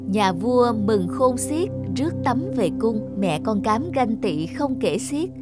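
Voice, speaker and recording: Expressive woman storyteller over music. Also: a little high, slow. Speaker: narrator. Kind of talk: scripted fairy-tale narration.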